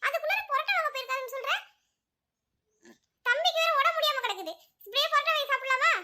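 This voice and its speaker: A woman's voice: very high voice, quick pace, pushed and bright, exaggerated voice. A woman voicing a practical mother who scolds and nags her children. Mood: scolding. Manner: scolding, nagging.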